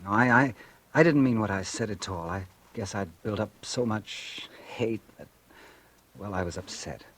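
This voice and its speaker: A man's voice: normal speed, a bit bright, slight background noise, lightly acted. An actor playing a bitter, vengeful man who narrates his story in a 1940s radio drama. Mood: earnest. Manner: brooding first-person narration, low and confiding. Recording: old radio broadcast with music.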